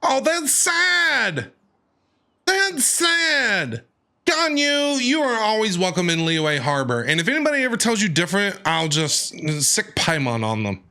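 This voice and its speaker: Bright, animated joking streamer man. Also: high voice, measured pace. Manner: jokey, animated quips. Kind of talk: reaction commentary over a game.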